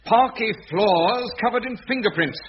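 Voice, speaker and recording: Radio comedy: disapproving property manager, highish lively voice, formal and condescending, dull old recording. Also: male voice, moderate pace, breathy edge. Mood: stern. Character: officious, stern, disapproving managing agent, a superior. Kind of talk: 1980s radio sitcom acting.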